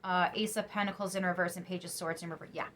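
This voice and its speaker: A young woman giving a casual tarot reading to camera, chatty and emphatic, sniffly after crying, her voice turning soft when she muses. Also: lowish voice, steady tone, fast, noisy background. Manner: chatty, emphatic, drops to soft musing asides. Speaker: tarot reader.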